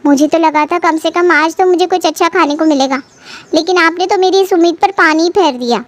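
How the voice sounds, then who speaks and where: A fussy young sister-in-law in a cartoon family drama played by a woman. Her voice is extremely high and girlish. She whines and complains with big dramatic flair. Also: fairly quick. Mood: disappointed. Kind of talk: animated family story dialogue.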